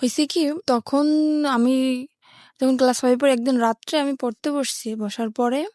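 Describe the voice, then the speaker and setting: High-voiced woman telling her life story. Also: steady tone, moderate pace, darkish tone, dry recording, studio quality. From Patuakhali, southern Bangladesh. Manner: plain, colloquial, step-by-step telling. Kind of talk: personal storytelling.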